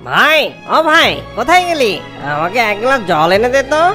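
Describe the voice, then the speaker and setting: A woman's voice: a little high, sing-song, moderate pace, sharp tone, soft background music. A woman voicing a greedy, resentful young fox brother in a children's cartoon, with dramatic, exclamatory delivery. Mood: bossy.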